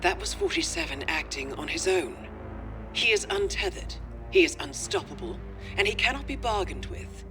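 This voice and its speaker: A woman acting a poised, cunning intelligence handler in a video game, speaking in a measured, deliberate and confident way. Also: medium pitch, huge pitch swings, over music. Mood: cool, persuasive.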